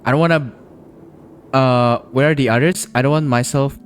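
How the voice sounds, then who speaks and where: Ein Mann kommentiert locker und verspielt seine Live-Spielsitzung, mit Spielmusik dahinter. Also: male voice, mid-range voice, normal speed. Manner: relaxed, playful reactions. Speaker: streamer. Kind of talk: casual live game commentary. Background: game music.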